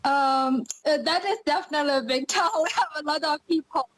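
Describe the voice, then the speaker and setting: Chinese-accented woman with a fairly high voice. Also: fairly quick. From China (Shanghai). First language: Mandarin Chinese. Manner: explanatory, talks with frequent hesitations. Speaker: guest, linguist and cross-cultural communication expert. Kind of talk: casual interview talk.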